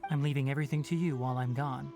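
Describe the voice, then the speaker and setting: Low-voiced, exacting forest ranger. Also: female voice, fairly even intonation, over music. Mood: calm, instructive. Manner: measured, formal, matter-of-fact. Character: calm, exacting forest ranger and mentor, aloof. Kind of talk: scripted video game voice acting.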